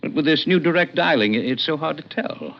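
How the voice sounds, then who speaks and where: A man's voice: a little high, lively intonation, fairly quick. An actor playing a nervous, earnest attorney in a radio drama, hesitant and formal in his speech. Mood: anxious, insistent. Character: tall, thin, nervous, earnest male attorney client. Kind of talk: 1950s radio drama acting. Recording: muffled vintage radio recording.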